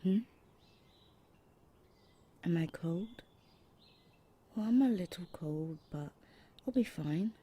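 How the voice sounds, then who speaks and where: A young adult woman voicing a shy, sweet roommate in an ASMR audio roleplay, speaking softly and hesitantly with breathy whispered asides. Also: on the low side, animated, slow, long pauses, heavily acted. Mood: shy, flustered. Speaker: voice actor.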